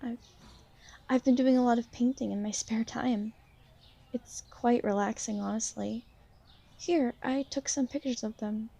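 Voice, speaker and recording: Shy, insecure young female vampire, played by a woman, for ASMR roleplay; mellow, creaky voice, halting and apologetic. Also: fairly high pitch, steady tone. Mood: hesitant. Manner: hesitant, halting, soft-spoken, apologetic. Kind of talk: ASMR roleplay, intimate one-sided conversation.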